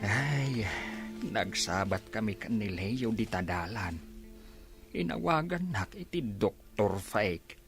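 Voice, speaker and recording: A man with an Ilocano accent playing a humble, easily hurt older village herbal healer in a radio comedy drama, with a gravelly, husky voice. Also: fairly low pitch, expressive, takes it slowly, a bit bright, soft background music, full character voice.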